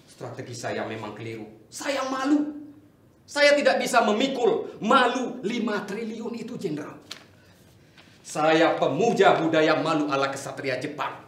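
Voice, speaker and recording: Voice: medium pitch, big pitch swings, unhurried. A performer playing a proud but deferential strategist to a general in a melodramatic stage reading. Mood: ashamed, resigned. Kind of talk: theatrical dramatic reading. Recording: echoey distant room with noise.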